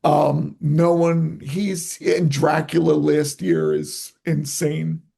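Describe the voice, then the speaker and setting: A man whose first language is Italian talks with rambling enthusiasm as a podcast guest. His voice is heavily gravelly and husky, and it sits a little high for a man. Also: lively intonation, takes it slowly, dark tone. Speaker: guest filmmaker. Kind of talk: casual interview talk.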